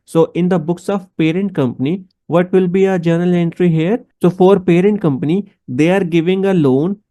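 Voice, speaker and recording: A man's voice: fairly high pitch, warm tone. A man with an Indian accent giving a step-by-step explanatory tutorial, talking directly to the listener and posing questions. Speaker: accounting instructor.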